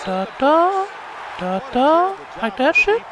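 A man voicing a creepy, chatty old man on a prank phone call, halting and repeating himself. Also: very high-pitched, very animated, a crowd in the background, pitched above the performer's own voice, exaggerated voice. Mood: inquisitive. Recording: very noisy call over game audio.

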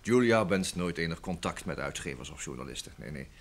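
A man's voice: low-pitched, lively intonation, fairly quick. A man acting a polite, busy secretary in an old Dutch radio drama, speaking in hesitant phrases with repeated words. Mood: explaining, uneasy. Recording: vintage radio drama recording.

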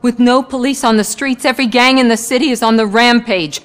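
A woman acts a brash, urgent video game character. Her rather high voice pushes out rapid, forceful phrases with long reverb around them. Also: fairly even intonation, natural voice. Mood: alarmed. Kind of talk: video game cutscene acting.

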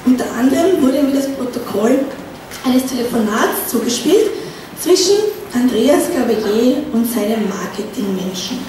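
A woman's voice: fairly high pitch, lively intonation, normal speed, dark tone. Woman comic, 30s, dry delivery, far mic, noisy pub. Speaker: stand-up comedian. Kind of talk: stand-up comedy. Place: small pub stage. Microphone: stand mic. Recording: echoey bar room, distant mic, noisy.

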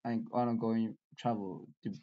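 A man in his twenties from China with a Chinese accent, chatting on a video call as a language learner, halting and repeating words as he searches for them. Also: fairly low pitch, little pitch movement, crowd noise. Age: 20s. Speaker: language learner and channel host. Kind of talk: casual video chat. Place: small room indoors. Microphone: webcam on a video call. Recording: dull lo-fi video call audio.

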